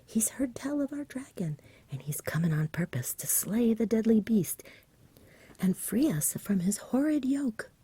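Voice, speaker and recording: Woman reading as excited country kid in a bedtime story, breathy whisper, dropped g's, close and dry. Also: a little high, unhurried, no room sound, pitched above the performer's own voice, full character voice. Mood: eager, excited. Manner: eager, colloquial, dropped g's. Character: excitable village boy sharing big news. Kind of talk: storybook character voice in ASMR reading.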